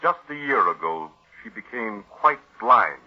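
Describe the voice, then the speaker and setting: A man playing a shrewd, grave family doctor in 1940s radio drama, deliberate and probing like an accuser. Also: lowish voice, sing-song. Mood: accusing. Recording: muffled old radio recording.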